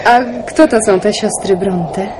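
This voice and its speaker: A woman's voice: medium pitch, unhurried, background noise, natural voice. An actress playing a prickly, proud young country woman in a radio drama, with a snappy manner. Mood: uneasy. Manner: snappy, argumentative. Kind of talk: Polish radio drama acting.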